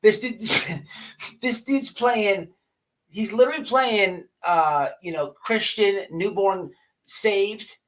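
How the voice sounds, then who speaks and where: A man's voice: high voice, slow pace, fairly bright tone, slight background noise, poor audio. A man hosting a casual livestream, ranting and chatting to viewers in profane slang, often stammering and repeating his words. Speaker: livestream host.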